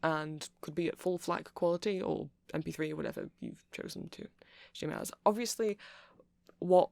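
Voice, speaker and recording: A man playing a nerdy, friendly vampire shopkeeper in an ASMR roleplay, soft-spoken and gravelly, rambling hesitantly as he explains tech. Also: fairly high pitch, normal speed, dry recording. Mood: earnest, explanatory. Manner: rambling, hesitant, self-conscious geeky explaining.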